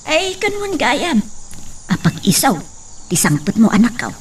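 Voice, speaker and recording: A woman's voice: a little high, wildly swooping pitch, measured pace. A middle-aged woman playing a fond, fussing mother who laughs often. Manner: fussing, affectionate, laughs a lot. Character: doting village mother eager to see her son married. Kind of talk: amateur drama acting. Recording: distant mic with faint insect noise.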